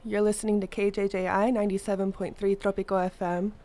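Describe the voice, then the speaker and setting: A woman's voice: mid-range voice, flat delivery, fast, noisy background. A woman hosting a casual radio interview in a studio, chatty, laughing often and restarting her questions. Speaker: radio host. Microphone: studio mic.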